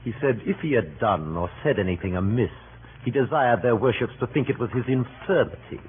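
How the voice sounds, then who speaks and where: An actor playing a blunt, scornful Roman in a radio Shakespeare drama, telling tales in a sardonic, theatrical way. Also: male voice, mid-range voice, lively intonation, a little fast, close to an everyday voice. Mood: scornful, mocking. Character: blunt, scornful Roman man mocking the mob. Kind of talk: 1930s radio Shakespeare acting. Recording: tinny, hissy old radio recording.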